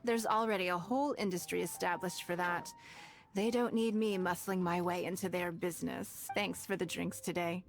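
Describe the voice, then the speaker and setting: A woman playing a shrewd, wealthy noblewoman in a video game, elegant, composed and gently teasing. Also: fairly low pitch, steady tone, close to an everyday voice. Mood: wry, teasing. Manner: refined, composed, deliberate. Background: music.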